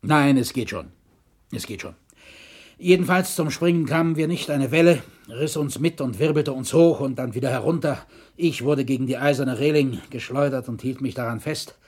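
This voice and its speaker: An actor playing a colonel and historian who survived a shipwreck, in a documentary radio play. He has a mid-pitched male voice and testifies soberly and formally. His delivery is measured, that of a shaken man holding himself together. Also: darkish tone, slightly rough, lightly acted. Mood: shaken, composed.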